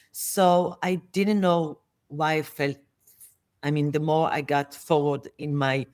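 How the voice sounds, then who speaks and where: A middle-aged woman whose first language is Hebrew, a therapist guest telling a personal story in English, often pausing and restarting as she searches for words. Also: low-pitched, darkish tone. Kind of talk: interview answers, personal story.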